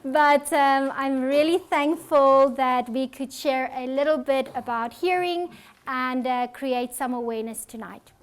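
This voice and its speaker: A woman's voice: high-pitched, a little slow, clear, resonant tone. A woman with a South African accent, an audiologist giving a health talk and answering audience questions in an earnest, reassuring way. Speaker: audiologist presenter.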